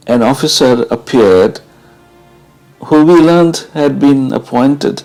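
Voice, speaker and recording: A man narrates a first-person account in a dramatised audiobook style. His delivery is slow and deliberate, broken into careful phrases. The voice has a creaky grain, and music plays faintly beneath it. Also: medium pitch, dark tone, poor audio. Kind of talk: dramatised audiobook reading of a memoir.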